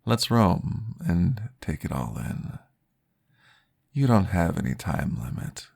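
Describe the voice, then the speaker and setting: A man playing a calm, courteous vampire therapist in an ASMR roleplay, guiding gently and formally in a breathy, gravelly voice. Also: sits low, heavily acted. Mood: soothing, reassuring. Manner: slow, gentle, formal, soothing guidance.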